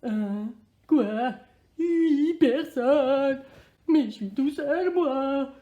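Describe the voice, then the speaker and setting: A woman playing a childish, excitable, self-pitying character who talks to themselves in slang in a playful children's sketch. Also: a little high, measured pace, roomy sound, muffled recording, close to an everyday voice. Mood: whiny despair. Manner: exaggerated, slangy, talking to self.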